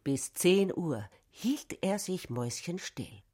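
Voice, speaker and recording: An actress narrating a literary radio play as a storyteller. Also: female voice, fairly low pitch, big pitch swings, slow pace, dark tone, slightly rough, heavily acted.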